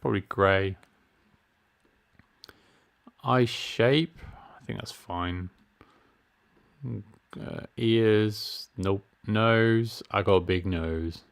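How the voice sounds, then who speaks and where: A man's voice: on the low side, extremely slow, gravelly, pauses often, clear, resonant tone. A middle-aged man, chatting casually and self-mockingly while reading game menus aloud. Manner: chatty, self-deprecating, reads menu options aloud. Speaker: gaming streamer. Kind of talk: casual let's-play commentary.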